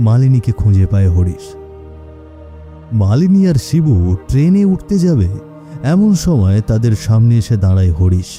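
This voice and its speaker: Dark-voiced male cartoon narrator. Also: mid-range voice. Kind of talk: scripted storytelling narration.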